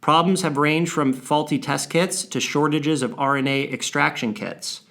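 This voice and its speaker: A man with a somewhat higher-than-average voice presents a prepared briefing to clients. He reads in a formal, measured manner, setting out figures and names deliberately. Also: creaky, fairly bright tone. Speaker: financial firm presenter on a client briefing call. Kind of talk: scripted briefing read aloud.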